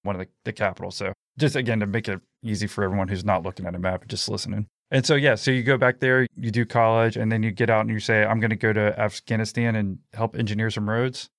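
A man's voice: on the low side, flat delivery, fast, hardly any pauses, fairly bright tone, dry recording, studio quality. A man hosting a podcast in a casual interview style, informally checking and restating details for his listeners. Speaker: podcast host.

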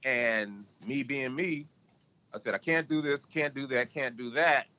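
Animated man telling stories, bright tone. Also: measured pace. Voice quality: can go deep. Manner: animated storyteller, emphatic. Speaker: podcast guest. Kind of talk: casual interview talk.

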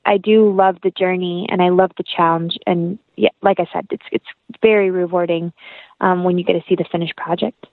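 A woman's voice: on the low side, fairly even intonation, a little fast, no echo. A young woman as an interview guest, chatting in an earnest, heartfelt, rambling way. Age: young adult. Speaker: podcast guest, actress. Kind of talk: casual interview chat. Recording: tinny phone-like line.

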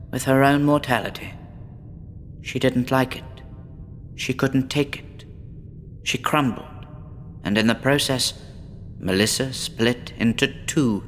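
A man playing a despondent, withdrawn woman patient in a horror audio drama, speaking in a brooding, deliberate, theatrical way. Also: mid-range voice, a bit bright, slight background noise. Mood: bleak, brooding. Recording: long reverb with faint background ambience.

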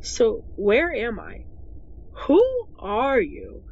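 A woman's voice: on the high side, sing-song, extremely slow, darkish tone, heavily acted. An actress playing a weary, unhappy young woman in an audio drama, hesitant and exasperated. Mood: confused, exasperated. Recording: dull, slightly noisy recording with ambience.

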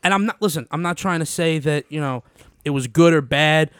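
A young man hosting a podcast in casual studio chat, joking and excitable, laughing often. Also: on the high side, fairly quick, clear, resonant tone, no room sound. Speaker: podcast host. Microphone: studio mic.

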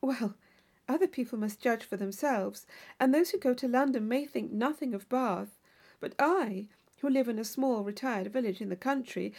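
Woman reading as an eager, artless young gentlewoman, high-pitched. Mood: keen, sincere. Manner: artless, earnest, enthusiastic. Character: naive, eager young country gentlewoman, cheerful and earnest. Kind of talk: classic novel audiobook character.

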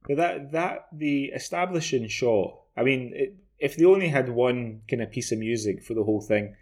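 A man in his forties with a Glaswegian Scottish accent, chatting casually as a podcast co-host in a rambling, halting way. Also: medium pitch, lively intonation, darkish tone. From Glasgow, Scotland. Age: 40s. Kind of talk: casual discussion of television.

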